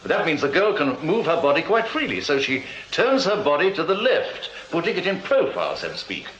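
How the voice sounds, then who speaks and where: Theatrical, high-voiced Victorian stage magician. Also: male voice, very animated, brisk, a bit bright. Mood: showy, explaining. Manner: florid, showy, wry. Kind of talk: radio drama acting. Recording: dull, roomy, noisy radio drama copy.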